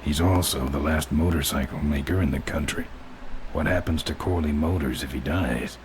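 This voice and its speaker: A man playing a tough, terse biker gang leader in a video game, gruff and deadpan. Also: normal speed. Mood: firm, unimpressed. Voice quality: very deep, gruff. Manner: laconic, deadpan. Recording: clear noise behind the voice.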